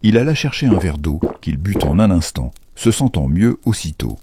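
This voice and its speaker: Man, narrator, deep pitch, animated melody, theatrical, playful, children's fairy tale. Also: normal speed, noisy background. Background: music under the voice.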